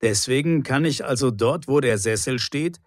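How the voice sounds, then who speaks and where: A man's voice: mid-range voice, normal speed, lightly acted. A man playing a fault-finding, formal neighbour in a scripted language-lesson dialogue. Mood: annoyed. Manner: reproachful, formal. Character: grumbling, fault-finding male neighbour in an apartment house.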